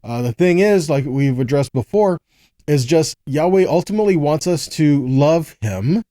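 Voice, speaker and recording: Male American preacher, relaxed and slightly high-pitched, teaching scripture conversationally and checking in with rhetorical questions. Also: normal speed, dry recording, clean studio sound. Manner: rhetorical questions and frequent check-ins with listeners. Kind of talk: conversational sermon with scripture readings.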